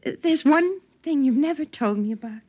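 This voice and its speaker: An actress playing a gentle, fearful young wife in an old-time radio drama, speaking earnestly and emotionally with a breathy voice. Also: female voice, high voice, expressive, moderate pace. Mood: anxious, yearning. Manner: earnest, emotional, hanging on words. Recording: dull vintage radio recording.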